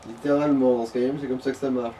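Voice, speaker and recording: A man's voice: mid-range voice, level tone, fast, mellow. A man chatting casually as a companion commenting on a video game. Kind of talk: casual chat. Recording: distant mic, noisy background.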